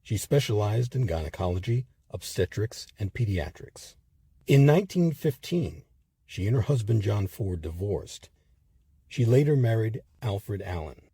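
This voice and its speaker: A man narrating a scripted history documentary in a measured, expressive storytelling manner. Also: on the low side, husky, dry recording.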